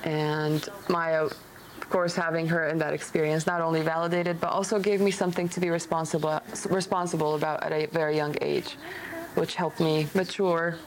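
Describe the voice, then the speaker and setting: A woman's voice: low voice, level tone, moderate pace, low-quality recording. A young woman from Syria talking openly and reflectively as a guest in a personal conversation, with an easy giggle. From Damascus, Syria. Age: young adult. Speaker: podcast guest, medical device engineer. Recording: clear background noise, ambient sound.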